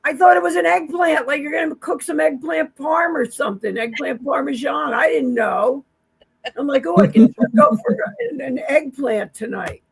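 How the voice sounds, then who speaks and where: A woman co-hosting a casual livestream chat, talking in a chatty, animated way. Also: high-pitched, moderate pace, vocal fry, low-quality recording. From New Jersey.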